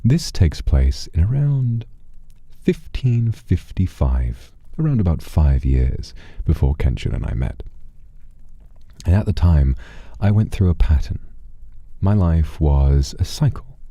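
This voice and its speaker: A man telling a first-person story to a livestream audience in a theatrical, deliberate way, with dramatic pauses. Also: extremely low pitch, very animated, dark tone, breathy edge, dry recording.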